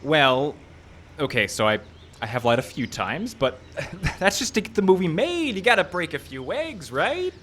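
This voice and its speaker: A man playing a grandiose, shifty low-budget movie director, eager and wheedling, in a radio comedy. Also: big pitch swings, moderate pace, fairly bright tone. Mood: evasive wheedling. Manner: enthusiastic, evasive, wheedling. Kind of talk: live radio comedy acting. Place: live show in a park. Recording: live show with faint background noise.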